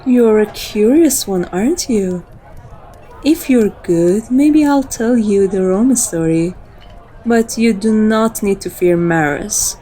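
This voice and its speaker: Casting: female actor for seductive vampire woman, teasing and intimate, unhurried, soft dark timbre, ASMR roleplay. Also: medium pitch, close to an everyday voice, rough recording. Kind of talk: scripted ASMR roleplay acting.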